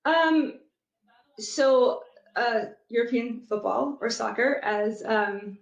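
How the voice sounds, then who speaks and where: A woman guest on an interview who talks thoughtfully and steadily, often pausing with ums as she gathers her thoughts. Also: on the high side, vocal fry. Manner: thoughtful, many fillers, measured. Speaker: guest, product manager and youth soccer coach. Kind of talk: casual interview conversation. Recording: muffled echoey remote recording.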